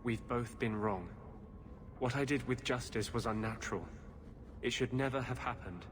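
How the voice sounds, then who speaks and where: A man's voice: medium pitch, moderate pace, background noise, natural voice. A man voicing an earnest, driven mage in a video game, speaking intently and with a guarded air. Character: earnest, driven male mage hiding something.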